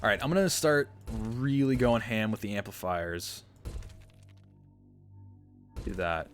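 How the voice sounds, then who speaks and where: A man's voice: fairly low pitch, animated, takes it slowly, faint music behind. A man streaming a strategy game, thinking aloud as he plays and answering chat with self-mocking asides. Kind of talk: casual gameplay livestream commentary.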